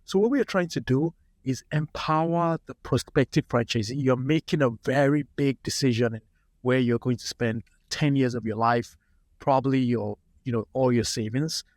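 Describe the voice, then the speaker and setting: A man in his late thirties from Nigeria, telling relaxed stories as a founder in a casual podcast interview. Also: medium pitch, normal speed, no room sound, studio quality. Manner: relaxed anecdotal storyteller. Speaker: startup founder, guest.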